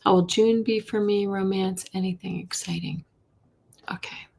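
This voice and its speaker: A woman hosting a live tarot reading, a little hoarse, chatty, with a soft voice that often drops to a whisper. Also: medium pitch, fairly even intonation, moderate pace, background noise. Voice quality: soft voice, not loud. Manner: chatty, reads viewer questions aloud, drops to whispers. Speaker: intuitive reader, live stream host.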